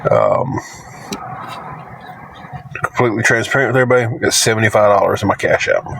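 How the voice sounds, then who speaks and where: Raspy Southern man, lowish voice, stuffy nose, halting apologetic chat, faint background hum. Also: wildly swooping pitch, fairly bright tone, low-quality recording. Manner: halting, repeats words, apologetic. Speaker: livestreamer. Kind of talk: casual livestream chat.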